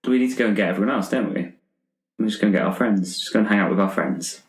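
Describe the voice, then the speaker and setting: A man's voice: fairly low pitch, fast talker. A man playing a tabletop roleplaying game, chatting casually and improvising his moves. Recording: roomy, echoey room sound.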